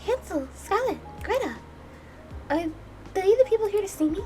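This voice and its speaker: Woman putting on a high, timid anime heroine. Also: animated, normal speed, music in the background. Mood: surprised, hesitant. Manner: hesitant, polite, easily flustered. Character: timid, sweet, clumsy young anime heroine. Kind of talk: visual novel character voicing.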